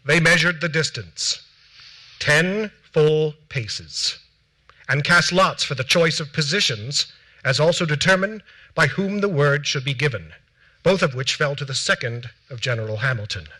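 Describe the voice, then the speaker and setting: Higher-pitched actor as a solemn gentleman witness. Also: expressive, exaggerated voice, poor audio. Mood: solemn, formal. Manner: formal, deliberate, declamatory. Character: solemn early American gentleman, duel witness giving formal account. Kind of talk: theatrical dramatic reading of period documents. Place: theatre stage before a seated audience.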